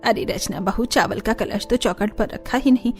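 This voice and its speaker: A woman's voice: a little high, normal speed, soft background music, lightly acted. A woman voicing a homely, caring middle-aged mother in a cartoon story, motherly and fretful. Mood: welcoming, directing.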